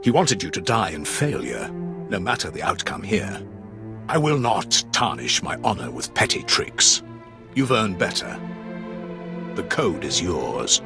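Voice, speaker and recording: An actor voicing a solemn, honor-bound Sith warrior lord in a video game, speaking formally and with measured gravity. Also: male voice, low voice, big pitch swings, vocal fry, over music, heavily acted. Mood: solemn, respectful. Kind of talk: dramatic video game acting.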